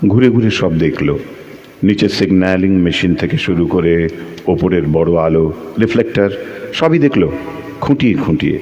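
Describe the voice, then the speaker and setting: An actor playing a solitary, reflective lighthouse keeper in an audio play, narrating in literary with a measured, expressive delivery. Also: male voice, sits low, muffled recording. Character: solitary, reflective Bengali lighthouse keeper telling his story. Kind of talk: literary first-person dramatic narration.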